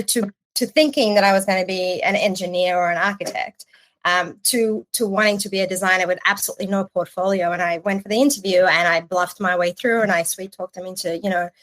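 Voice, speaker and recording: A woman in her forties, from Iran, telling her story as a podcast guest, lively and quick to restart her sentences. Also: medium pitch, poor audio. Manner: animated storytelling with restarts and casual swearing. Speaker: podcast guest, agency creative chief. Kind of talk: casual interview chat.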